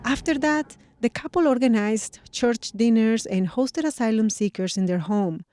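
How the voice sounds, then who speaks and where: A woman news reporter narrating a scripted radio feature in a measured, clear way. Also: fairly high pitch, warm tone, dry recording, studio quality.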